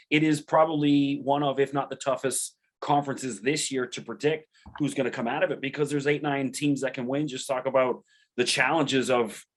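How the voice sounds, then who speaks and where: A man of middle pitch hosts a sports interview, asking loose, chatty questions full of fillers and asides.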